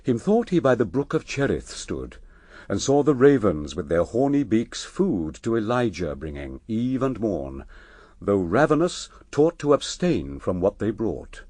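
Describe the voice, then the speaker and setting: Male narrator, stately epic verse reading, unhurried, widely varied intonation, dry recording. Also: fairly low pitch, dry recording. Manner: stately, measured, expressive. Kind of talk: scripted dramatic verse narration.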